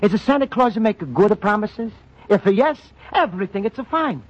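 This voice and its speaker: An actor playing a kindly, eager Italian immigrant as Santa in a radio comedy, speaking earnest, apologetic broken English with an Italian accent. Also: male voice, high-pitched, lively intonation, normal speed. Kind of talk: old-time radio comedy acting. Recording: dull, band-limited old radio recording.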